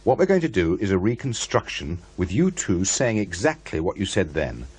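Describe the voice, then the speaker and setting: An actor playing a polite, probing investigator in a radio drama, with a tentative, questioning manner. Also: male voice, low voice, very animated, normal speed, a little mellow, breathy edge, heavily acted. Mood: businesslike. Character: probing, polite male investigator, eager and uneasy. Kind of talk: naturalistic radio drama dialogue.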